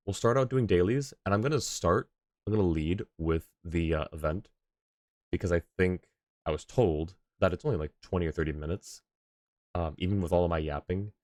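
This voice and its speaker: A low male voice, recorded close and dry, talks casually on a game livestream. He rambles along, thinking aloud. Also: darkish tone, no echo. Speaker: live game streamer.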